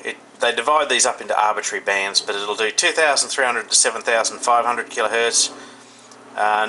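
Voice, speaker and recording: Bright-voiced Australian man reviewing gear. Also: mid-range voice, low-quality recording. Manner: halting, thinking aloud. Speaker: product reviewer. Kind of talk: casual unscripted hands-on demonstration. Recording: faint background noise.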